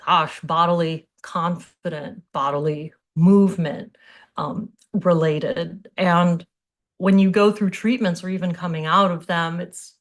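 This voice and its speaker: A middle-aged American woman speaks in a slightly low-pitched voice. She presents as a physical therapist on a video call, keeping things informal, open and conversational. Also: steady tone, unhurried. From Hawaii, United States.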